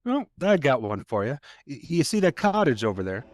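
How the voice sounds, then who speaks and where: An actor playing a chatty, folksy local sheriff's deputy in an audio play, telling things in a colloquial way. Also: male voice, medium pitch, big pitch swings, close to an everyday voice. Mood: earnest, storytelling. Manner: folksy, colloquial, unhurried storytelling. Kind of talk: naturalistic audio drama dialogue.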